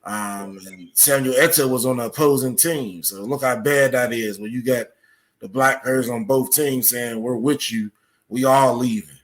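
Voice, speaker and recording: A man's voice: mid-range voice, moderate pace. A man speaking as a podcast guest, explaining his points step by step with examples in conversation.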